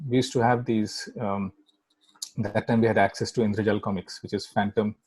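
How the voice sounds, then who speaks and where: Husky middle-aged Indian English man. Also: fairly low pitch, level tone, normal speed, darkish tone, no room sound. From Kolkata, India. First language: Bangla. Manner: rambling, many fillers and "right?" tags. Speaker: guest filmmaker. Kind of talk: casual interview chat.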